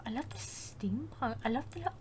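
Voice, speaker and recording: A woman's voice: fairly high pitch, lively intonation, normal speed, very noisy. A woman let's player giving casual reactions to a video game as she plays. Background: game music.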